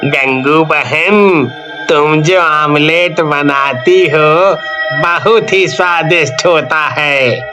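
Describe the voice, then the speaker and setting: A man voicing a friendly, appreciative village customer with drawn-out speech in a cartoon story. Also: fairly high pitch, over loud music.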